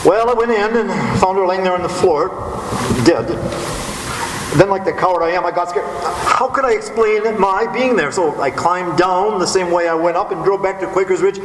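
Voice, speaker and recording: Casting: male actor as melodramatic, self-pitying gentleman suspect, high voice, old radio detective play, noisy live stage. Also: normal speed, natural voice. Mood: despairing. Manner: hesitant, melodramatic. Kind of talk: old-time radio detective drama acting. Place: live stage performance in a theatre hall. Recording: echoey stage hall with noise.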